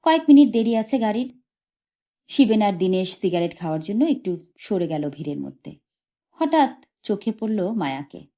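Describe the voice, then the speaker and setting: A woman's voice: medium pitch, very animated, moderate pace, mellow. A woman narrating a short story as an audiobook reader, expressively and from a script.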